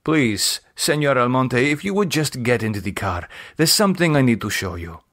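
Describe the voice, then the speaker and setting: A man voicing a polite, formal male doctor in an audiobook, courteous and quietly menacing. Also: medium pitch, expressive, normal speed. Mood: polite, insistent. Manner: polite, formal, hesitant smoothness.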